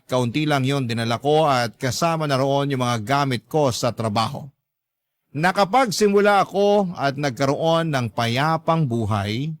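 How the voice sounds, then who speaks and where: A man's voice: medium pitch, no echo, close to an everyday voice. A man with a Filipino accent playing a young letter-sender, narrating his story politely and reflectively in a radio drama. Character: young man confessing his story, wounded yet hopeful.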